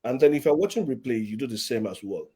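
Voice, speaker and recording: Male live-stream host at mid pitch, chatty and repetitive, greeting viewers. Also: expressive, quick pace, breathy edge. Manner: warm-up chatter, repeats words, reads audience comments. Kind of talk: live-stream interview hosting.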